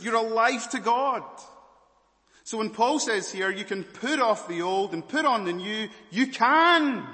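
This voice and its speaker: A man with a Scottish accent preaching a sermon, moving between hushed and loud emphatic passages. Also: high voice, big-room sound. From Malawi, raised as Scottish.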